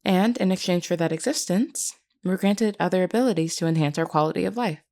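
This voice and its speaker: A woman playing a gentle, courteous vampire queen in an intimate audio roleplay, speaking politely and reassuringly. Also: fairly low pitch, a little fast, close to an everyday voice. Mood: warm, reassuring. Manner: polite, soft-spoken reassurance with hesitant asides.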